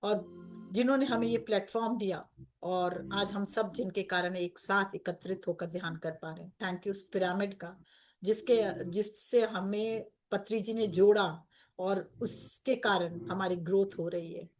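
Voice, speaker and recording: A woman with an Indian accent leading a guided meditation as a coach, speaking in gentle, repetitive phrases with pauses. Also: mid-range voice, steady tone. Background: background music.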